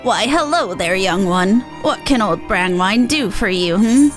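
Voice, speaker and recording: A woman's voice: mid-range voice, expressive, unhurried, soft background music, lightly acted. Woman putting on a stern old matriarch. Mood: stern courtesy. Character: stern old mother, treasure hunter's formidable parent. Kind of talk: improvised reading of game dialogue.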